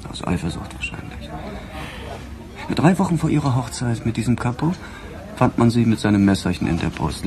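Sly, deep-voiced police detective. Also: male voice, gravelly, warm tone, a little hiss or hum. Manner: insinuating, mock-courteous questioning. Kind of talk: German crime radio play acting.

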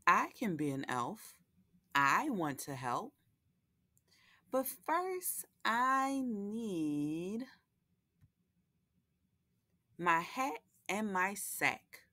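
A woman's voice: medium pitch, very animated, extremely slow, sharp tone, pitched above the performer's own voice, heavily acted. A woman voicing an eager little animal girl in a storybook, earnest and keen to help.

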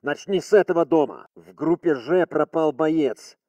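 An actor playing a stern Russian soldier giving squad orders in a video game, with a Russian accent. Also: male voice, on the high side, lively intonation, moderate pace, darkish tone, no echo. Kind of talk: video game military voice lines.

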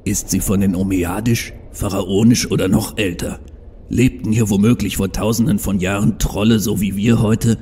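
Casting: male actor as proud, stubborn troll visionary, deep and mellow, earnest and bitter, for audio drama. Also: fairly even intonation, normal speed, slightly rough. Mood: urging, visionary. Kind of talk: fan audio drama acting.

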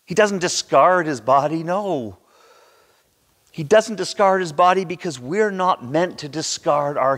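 A man in his sixties preaching a sermon with theatrical, emphatic delivery and dramatic pauses, recorded in a church. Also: fairly high pitch, a bit bright. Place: large church sanctuary, indoors at a pulpit. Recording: reverberant church hall.

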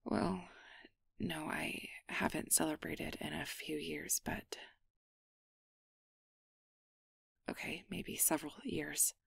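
A woman's voice: low-pitched, fairly even intonation, measured pace, vocal fry, fairly bright tone, husky, dry recording, studio quality, heavily acted. A woman playing an awkward, guarded but secretly tender young changeling in an ASMR roleplay, hesitant and stammering as she downplays things.

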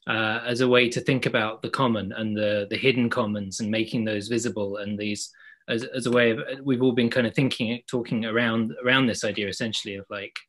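A man's voice: on the low side, steady tone, brisk, a bit bright. A man in his forties speaking on an online panel from a home room over a laptop mic, thoughtful and often repeating words as he searches for them. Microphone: laptop mic on video call.

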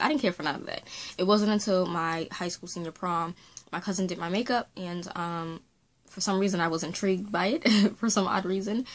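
A woman in her late twenties chats to her webcam from a small room at home, voice slightly low. She rambles and talks with her hands. Her throat is still sore from a recent illness. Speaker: faith and beauty vlogger. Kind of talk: casual chatty vlog to camera.

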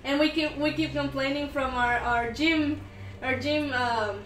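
A woman's voice: high voice, fairly even intonation, a little slow, fairly bright tone, slight background noise. A giggly woman co-hosting a casual live stream, reading out and answering viewer comments, recorded in a small room. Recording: roomy, distant mic. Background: faint music.

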